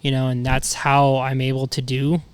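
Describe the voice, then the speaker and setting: A man's voice: medium pitch, completely flat delivery, normal speed, smooth, no room sound. A man chatting casually as a podcast guest, slangy, profane and self-deprecating. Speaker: podcast guest, motorcycle racer.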